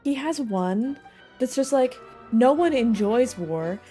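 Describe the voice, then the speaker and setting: A woman's voice: fairly high pitch, expressive, slow pace, warm tone. A woman in her twenties chatting casually as she streams a video game, with music behind her. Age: 20s. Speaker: live streamer. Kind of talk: casual gaming stream chat.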